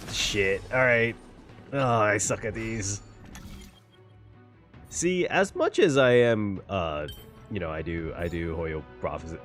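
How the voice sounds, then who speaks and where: A man giving casual reaction commentary while gaming, rambling, repeating phrases and swearing casually. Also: fairly low pitch. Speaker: gaming content creator.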